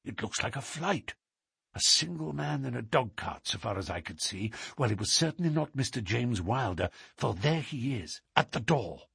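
A man reading aloud, voicing a cool, measured, sharp-witted gentleman detective. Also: on the high side, very animated, breathy edge, no room sound, studio quality, voice pushed up, exaggerated voice. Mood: hushed. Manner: cool and measured, dropping to a hushed whisper.

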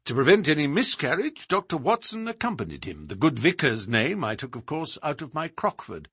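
A man voicing a self-assured gentleman detective in an audiobook, explaining in a precise, formal, theatrical way. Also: on the high side, big pitch swings, fairly quick, husky, no room sound, studio quality, voice pushed up, heavily acted. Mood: expository, confident.

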